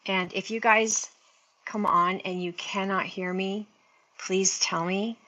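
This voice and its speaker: Chatty sixty-year-old woman, bright voice. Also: medium pitch, steady tone, slow pace, rough recording. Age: 60s. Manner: chatty, rambling, talks to viewers. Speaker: beauty livestream host. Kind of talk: casual live-stream chat. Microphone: earphone mic linked to phone.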